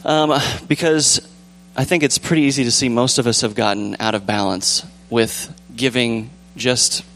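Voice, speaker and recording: A young man with a calm, relaxed voice leading a casual church discussion, rambling gently with fillers and restarts. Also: medium pitch, moderate pace, vocal fry, slight background noise. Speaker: church group leader. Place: church sanctuary with pews.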